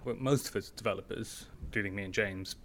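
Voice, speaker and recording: A man's voice: lowish voice, fairly quick, fairly bright tone, slight background noise. A man talking informally as an interview guest, explaining things with everyday examples. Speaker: guest, tech company founder.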